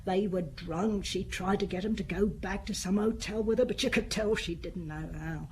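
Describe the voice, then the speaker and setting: An actress playing a blunt, sociable middle-aged widow who keeps a small bar, talking plainly and colloquially in a radio play. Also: female voice, mid-range voice, moderate pace, darkish tone, slight background noise, close to an everyday voice. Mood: matter-of-fact. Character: fat, sociable, blunt older widowed woman bar keeper. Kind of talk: radio drama acting.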